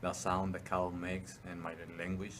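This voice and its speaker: Deep, flat man. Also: moderate pace, a little hiss or hum. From Mexico. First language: Spanish. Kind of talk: short self-introduction.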